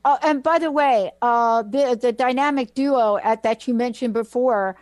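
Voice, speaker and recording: A woman's voice: a little high, measured pace, darkish tone, dry recording. A woman hosting a talk radio show, gushing and effusive as she welcomes her guests back.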